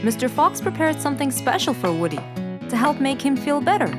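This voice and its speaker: A woman's voice: high-pitched, sing-song, fairly quick. A woman narrating a scripted children's story, with music under her voice.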